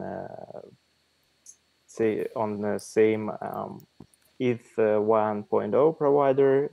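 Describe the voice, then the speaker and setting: A man giving a halting status update for his developer team on a video call, with many fillers. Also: lowish voice, creaky, mellow, no room sound, rough recording. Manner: slow, hesitant, many fillers.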